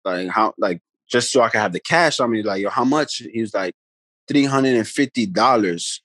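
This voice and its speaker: A man rambles through stories in an easygoing, conversational way. His voice sits a little low, and the sound is dry and close from a phone held near him on a video call. Each point gets padded with casual asides. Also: normal speed, a bit bright, dry recording. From the Bronx, New York. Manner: laid-back, rambling, many "you know what I mean" tags. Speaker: guest, pro basketball player. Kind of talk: casual storytelling chat. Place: room at home, indoors. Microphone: phone camera held close on a video call.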